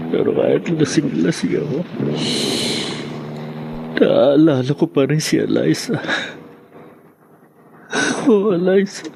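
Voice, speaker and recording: A man's voice: fairly high pitch, gravelly, a little mellow, exaggerated voice. An actor playing a drunk, remorseful young man in an emotional radio drama, slurring his words. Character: drunk, remorseful young man driving, torn over lost love. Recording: noisy, with vehicle sound effects.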